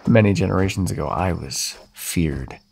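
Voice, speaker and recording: A man's voice: moderate pace, darkish tone, slight background noise. A man voicing a centuries-old male vampire in an ASMR audio roleplay, taunting and mocking the listener he calls dearie. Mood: menacing. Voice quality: low gravelly voice, husky.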